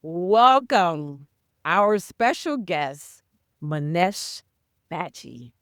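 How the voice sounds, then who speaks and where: Slow-speaking upbeat woman podcast host. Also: fairly low pitch, expressive, fairly bright tone, dry recording, studio quality. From Mexico. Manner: upbeat, promotional, rhetorical questions. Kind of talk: podcast hosting.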